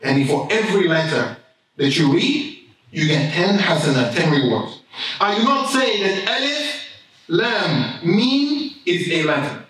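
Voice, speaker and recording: A man in his thirties delivers a Friday sermon from a mosque lectern, his fairly high voice swelling on key words and drifting into Arabic phrases. The room rings with heavy echo. He stands back from the stand mic, so his voice reaches the camera from a distance. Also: sing-song, measured pace, fairly bright tone. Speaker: imam. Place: mosque prayer hall, indoors. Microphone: stand mic at a lectern, filmed from far camera. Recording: very echoey hall, voice from a distance.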